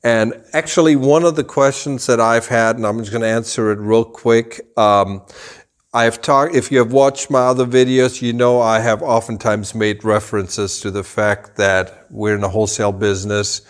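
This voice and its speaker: A man in his forties, raised in Germany, a rug dealer explaining things to camera in a showroom, slow and deliberate with gestures. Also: medium pitch, steady tone. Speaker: rug dealer and channel host. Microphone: camera at a few meters, full body framing.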